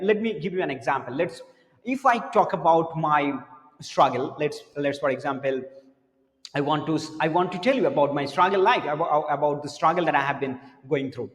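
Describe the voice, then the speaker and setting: A man who teaches spoken English, giving an earnest motivational lesson to camera, repeating words and dropping his voice low for serious points. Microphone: camera mic, speaking to camera. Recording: echoey room with long reverb.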